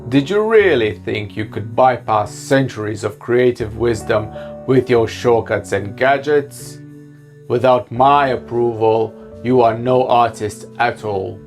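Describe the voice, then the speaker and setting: A man's voice: medium pitch, unhurried, soft background music, close to an everyday voice. A man putting on a pompous old art scholar. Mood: haughty. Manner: haughty, scolding. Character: pompous old male keeper of art rules.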